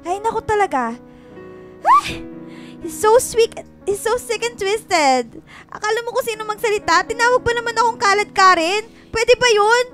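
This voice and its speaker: A woman's voice: very high voice, lively intonation, normal speed. A young woman with a Filipino accent playing a sassy, dramatic comic friend in a radio drama. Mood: indignant, mocking. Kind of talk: Tagalog radio drama acting. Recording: music clearly under the voice.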